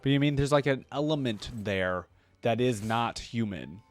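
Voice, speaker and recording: Man, reaction host, casual commentary, mid-pitched voice, amused, slangy, informal, faint background music. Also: lively intonation, normal speed.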